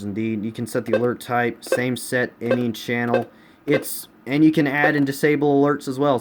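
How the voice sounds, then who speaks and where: A man from the United States giving a casual, unscripted review as a collector, often repeating himself for emphasis. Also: medium pitch. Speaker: radio collector presenting a collection. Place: indoors in a home room. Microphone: handheld camera, speaker behind it. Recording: clear background room noise.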